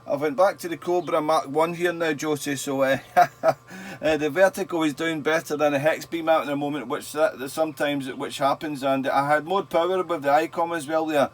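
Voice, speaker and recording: A man chatting casually as a radio operator, often repeating his phrases for emphasis. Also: a little high. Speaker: radio operator and YouTube channel host. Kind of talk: casual ham radio chat.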